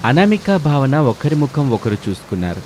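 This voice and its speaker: A man's voice: mid-range voice, sing-song, moderate pace, a little mellow, a little hiss or hum. A man narrating a scripted family moral story.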